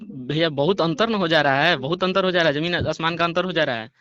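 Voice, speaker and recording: A man's voice: a little high, brisk, bright, forceful tone. A man defending himself in a phone conversation, repeating words and hesitating while addressing the other person respectfully. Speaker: singer being interviewed. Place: phone call recording. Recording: dull lo-fi recorded phone call.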